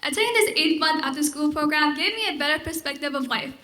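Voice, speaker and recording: A high school senior girl gives an earnest, formal speech at a podium mic. Her voice is high and bright, and the theater gives it a roomy echo. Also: expressive, fast. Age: teens. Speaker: student speaker. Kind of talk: prepared speech.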